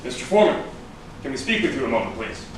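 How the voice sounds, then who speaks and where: An actor in a staged crime drama reading, playing a measured police detective with a polite, procedural manner. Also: male voice, medium pitch, very animated, natural voice. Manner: courteous, procedural. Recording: very echoey, distant, noisy stage recording.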